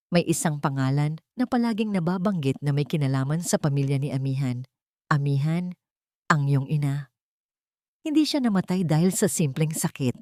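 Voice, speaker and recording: A woman voicing a grave, deliberate male family lawyer in an audiobook drama. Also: sits low, a little mellow, dry recording, clean studio sound.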